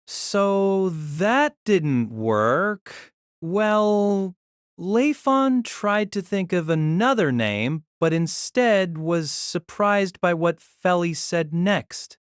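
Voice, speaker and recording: Lively male audio drama narrator. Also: high voice, extremely slow, clear, resonant tone, dry recording, studio quality.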